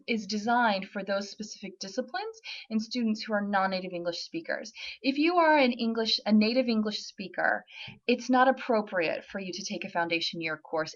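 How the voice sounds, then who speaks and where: A woman's voice: mid-range voice, fairly bright tone, lo-fi sound. A woman working as a university admissions representative, answering typed questions in a webinar in a friendly, informative way with frequent ums and uhs.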